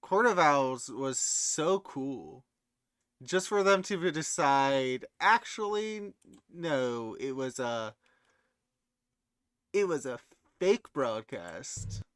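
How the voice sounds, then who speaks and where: A man's voice: mid-range voice, lively intonation, drawn-out words, fairly bright tone, clear, resonant tone, no echo, clean studio sound. A man talking casually as a co-host reacting to videos.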